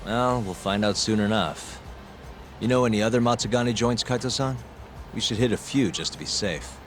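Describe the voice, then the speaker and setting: An actor with an American accent playing a cool, nonchalant detective with a dry, casual delivery in a video game. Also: male voice, on the low side, moderate pace, faint music behind, natural voice.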